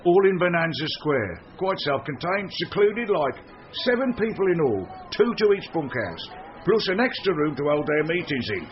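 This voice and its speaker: Matey British holiday camp boss, highish voice. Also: male voice, very animated, moderate pace, soft background music. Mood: chatty. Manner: colloquial, jokey, blustering. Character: chatty, matey holiday camp manager, slightly shifty. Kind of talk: radio comedy-mystery acting. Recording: dull lo-fi radio drama.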